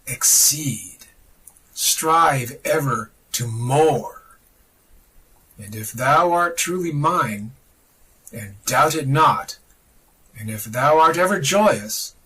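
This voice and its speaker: A man reading a religious text aloud in a solemn, dramatic style, declamatory and deliberate, with emphatic pauses. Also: medium pitch, pushed and bright, husky, distant mic, poor audio. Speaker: podcast reader of esoteric texts.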